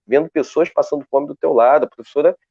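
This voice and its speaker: A man speaking on a live video call, talking in a lively way with many restarts as he searches for words. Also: on the high side, quick pace, gravelly, husky, dry recording. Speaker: guest researcher. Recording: dull, dry lo-fi call audio.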